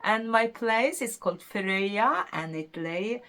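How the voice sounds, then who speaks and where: A woman from Norway with a Norwegian accent, hosting a knitting podcast in English, a second language she speaks haltingly with many pauses and fillers. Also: mid-range voice, expressive, fairly bright tone. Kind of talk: casual podcast monologue.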